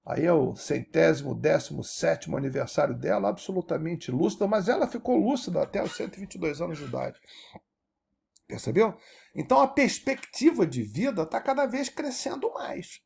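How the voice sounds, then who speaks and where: A man, giving a lecture as an animated teacher who keeps checking that his audience follows. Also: fairly high pitch, moderate pace, gravelly, breathy edge. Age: 70s. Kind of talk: recorded university-style lecture.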